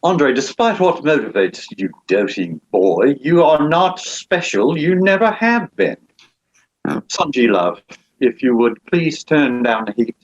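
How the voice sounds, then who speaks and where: An actor playing a cruel, cold aristocratic Duke at a script table read, menacing and measured. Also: male voice, mid-range voice, very animated, highs cut off. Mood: contemptuous.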